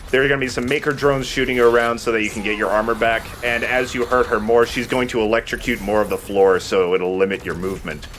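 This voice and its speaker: A man's voice: mid-range voice, fairly even intonation, quick pace, hardly any pauses, a bit bright, slight background noise. A man giving casual, explanatory let's play commentary as he plays, with some mild swearing. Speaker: let's play host and player. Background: video game music.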